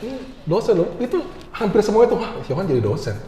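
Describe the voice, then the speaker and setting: A man's voice: sits high, very animated, fast talker. A man chatting informally as an interview guest, mixing in English terms. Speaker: interview guest, university lecturer. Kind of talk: casual interview chat. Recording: echoey room with long reverb, music behind.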